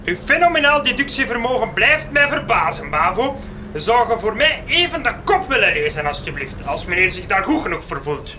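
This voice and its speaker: Shrill, hot-tempered Flemish newspaper boss. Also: male voice, big pitch swings, moderate pace, background noise. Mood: sarcastic, scornful. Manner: theatrical, sarcastic, barking colourful insults. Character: foul-mouthed, bullying male newspaper editor-in-chief, hot-tempered, mocking. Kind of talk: comic radio drama acting.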